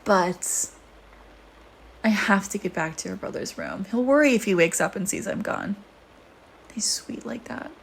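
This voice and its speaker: A woman's voice: medium pitch, expressive, a little slow, vocal fry, long pauses, breathy edge, heavily acted, poor audio. A woman playing a flirty, teasing vampire in an intimate audio roleplay, with a sardonic, casual manner. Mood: fond, teasing. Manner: sardonic, teasing, casual murmuring with sighs and whispered asides.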